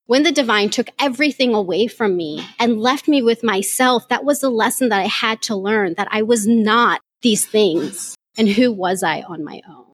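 Woman host, life coach, fairly high voice, candid and animated, dry close sound. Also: no echo. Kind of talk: live audio chat answering questions.

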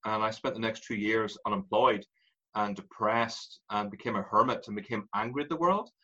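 Irish guy in his 40s, husky bright voice, lively storyteller, kinda dull lo-fi sound. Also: lowish voice, normal speed. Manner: animated, laughs through stories. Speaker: guest. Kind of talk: candid interview storytelling.

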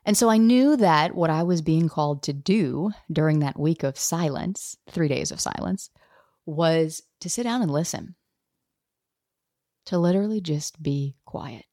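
A woman in her thirties hosts her own podcast, telling a personal story straight to the listener. Her voice sits a little low, and she slips into the voices of the people she quotes. The sound is dry and close, with no room around it. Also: no room sound. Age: 30s. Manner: animated, acts out quoted dialogue. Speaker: podcast host.

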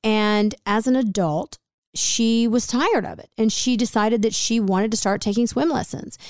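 A raspy-voiced woman in her forties, co-hosting a podcast in a chatty, rambling way full of fillers. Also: a little high, moderate pace, a little mellow, no echo, clean studio sound. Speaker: podcast co-host. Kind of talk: casual podcast chat.

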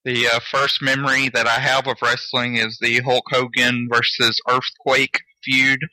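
A man's voice: medium pitch, level tone, unhurried, bright, forceful tone, no room sound, rough recording. A man in his mid twenties from Georgia in the US, co-hosting a casual podcast in a matter-of-fact way. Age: 20s. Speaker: podcast co-host.

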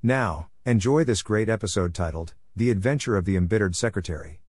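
A man's voice: lowish voice, brisk, a little mellow, no room sound, clean studio sound. A man reading a scripted introduction as a podcast host.

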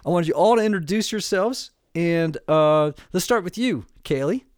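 A man's voice: high-pitched, animated, moderate pace, darkish tone, clean tone, no echo, studio quality. A middle-aged man hosting a casual family podcast, upbeat and joking, rambling a little with fillers. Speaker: podcast host.

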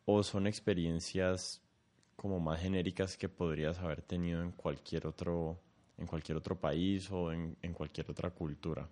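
Deep-voiced male interviewer. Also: steady tone, moderate pace, a little mellow. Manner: probing, long winding questions. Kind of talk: conversational interview.

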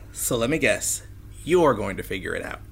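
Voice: mid-range voice, big pitch swings, normal speed, gravelly, fairly bright tone, breathy edge, full character voice. An actor playing a caring, wry parent of a teenager in an audio drama, talking casually. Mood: bemused.

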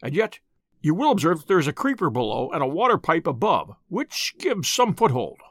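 A man reading the part of a calm, courteous gentleman detective in an audiobook story, measured and polite with dry understatement. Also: on the high side, no echo, heavily acted. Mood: wry, thoughtful.

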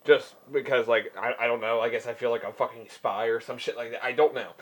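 A man's voice: medium pitch, fairly even intonation, quick pace, noisy background. A young man co-hosting a podcast in crude casual banter, swearing and breaking into shrieking laughter. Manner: profane, giggly, shrieking laughter. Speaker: podcast co-host.

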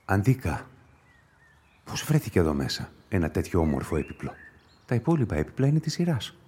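An actor playing an observant police inspector musing to himself in a radio play. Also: male voice, low voice, sing-song, dark tone, slight background noise. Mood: puzzled. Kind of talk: radio play inner monologue.

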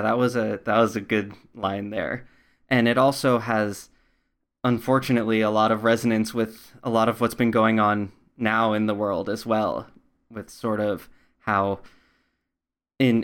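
A man hosting a conversational interview in a measured, reflective way. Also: lowish voice. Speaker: interviewer, podcast host.

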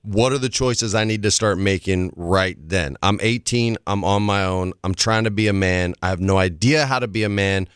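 A man's voice: on the low side, moderate pace, hardly any pauses, fairly bright tone, clear, resonant tone, no room sound, studio quality. A man co-hosting a talk show who asks playful questions and builds them around hypotheticals. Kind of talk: casual talk show chat.

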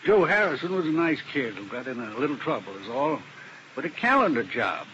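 A man's voice: mid-range voice, very animated, a little fast, bright, forceful tone, slight background noise. An actor playing a male defense lawyer with ulcers who talks in a rambling, wistful way, in a 1950s radio drama. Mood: rueful. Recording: muffled old radio recording.

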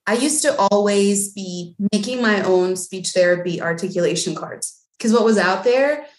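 A woman's voice: medium pitch, normal speed. A woman from Mexico, a guest on a podcast, talking casually with lots of "like" and "um" fillers. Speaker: guest, bilingual speech language pathologist. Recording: echoey room.

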